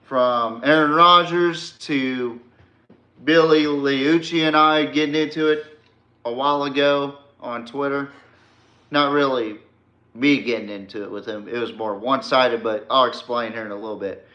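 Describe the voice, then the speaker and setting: A male podcast host with a bright, forceful voice at an ordinary male pitch. He takes his time, delivering casual, emphatic sports opinions to a live stream with the odd swear word. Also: fairly even intonation, measured pace, lo-fi sound.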